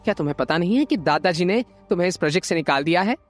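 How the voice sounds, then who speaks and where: A woman's voice: lowish voice, lively intonation, very fast, soft background music. A woman acting a spiteful, haughty young schemer in an audio drama, with a mocking, sneering manner. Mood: scornful.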